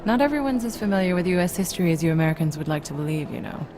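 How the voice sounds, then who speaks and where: An actress playing a calm, probing doctor who questions a patient in a game cutscene. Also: female voice, fairly low pitch, very fast, natural voice. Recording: game cutscene with loud music.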